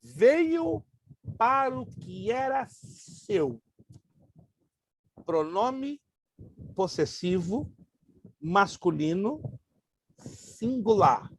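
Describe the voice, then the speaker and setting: A man whose first language is Hebrew, a pastor teaching a Bible class, emphatic and joking, slowly repeating grammar terms. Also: high voice, a little hiss or hum, rough recording.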